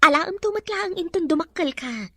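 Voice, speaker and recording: A woman's voice: extremely high pitch, expressive, fairly quick, pushed and bright, heavily acted. A woman with an Ilocano accent playing a concerned mother cautioning her young daughter in a radio drama. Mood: concerned, firm.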